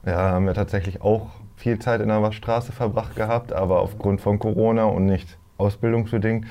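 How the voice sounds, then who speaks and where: Low, mellow man in his thirties. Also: steady tone, fairly quick. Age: 30s. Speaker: podcast guest, trainee. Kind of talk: casual chat.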